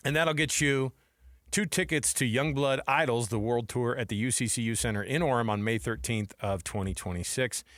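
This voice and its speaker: A man's voice: mid-range voice, normal speed, a bit bright, no room sound. Male American radio host, casual sports banter, conversational phrasing, mid-range voice, very dry close sound. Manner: chatty, colloquial. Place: radio studio. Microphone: close studio microphone.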